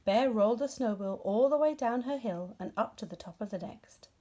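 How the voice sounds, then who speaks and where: Female children's story narrator: expressive, animated pitch, warm and rounded tone. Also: moderate pace. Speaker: storybook reader.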